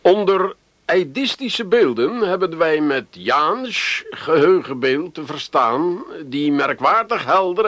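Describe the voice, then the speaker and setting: A man's voice: sits high, fairly bright tone, no room sound, exaggerated voice. An actor playing a cynical, grumbling family man in a comedy radio play, with a sardonic way of talking. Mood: idle, scheming.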